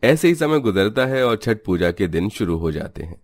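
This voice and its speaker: Very deep-voiced male storyteller. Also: sing-song, normal speed, no room sound, clean studio sound. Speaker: storyteller narrator. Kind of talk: scripted cartoon story narration in a dramatic storybook manner.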